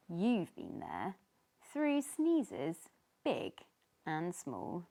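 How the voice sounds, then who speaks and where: Timid, earnest little storybook fish, played by a woman, high voice with big swoops. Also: darkish tone, exaggerated voice. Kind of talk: children's storybook character voice.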